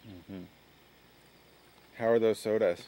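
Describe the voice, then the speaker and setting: A father filming his children in the backyard, calmly quizzing and encouraging them. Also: lowish voice, slow pace. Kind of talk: casual home video chat. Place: sunny outdoor backyard garden. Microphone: camcorder mic.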